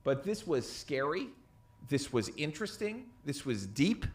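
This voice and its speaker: An expressive man chatting on a podcast as a co-host. His pitch moves around a lot as he delivers emphatic, opinionated takes in casual, sweary language. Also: unhurried. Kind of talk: casual chat, pop culture opinions.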